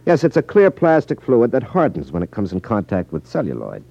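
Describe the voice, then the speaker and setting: Low-voiced, wry radio detective. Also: male voice, huge pitch swings, fairly quick, full character voice. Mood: assured, wry. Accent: American. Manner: smooth, teasing, unruffled. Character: cool, wry magician detective who exposes gambling rackets. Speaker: lead actor in a detective series. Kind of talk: 1950s radio acting. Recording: muffled 1950s radio broadcast recording.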